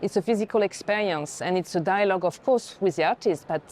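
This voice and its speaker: A woman's voice: mid-range voice, fast talker, darkish tone. Female senior curator, middle register, reflective and conversational, recorded on location with faint background noise. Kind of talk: reflective conversational art commentary. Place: indoor art fair.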